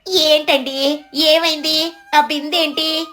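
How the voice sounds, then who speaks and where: A woman voicing an excitable, suspicious village wife in an animated moral story, exclaiming in agitation. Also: high-pitched, slow, faint music behind, pitched above the performer's own voice, heavily acted. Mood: startled curiosity.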